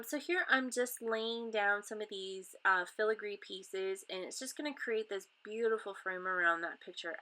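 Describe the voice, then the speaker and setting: A middle-aged woman from Canada talks through her crafting in a loose, rambling way, full of ums and restarts. Her voice is bright and clear, but stuffy and nasal from a lingering cold. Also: medium pitch, rough recording. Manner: chatty, rambling, many ums. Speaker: craft vlogger. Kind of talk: casual crafting voice-over.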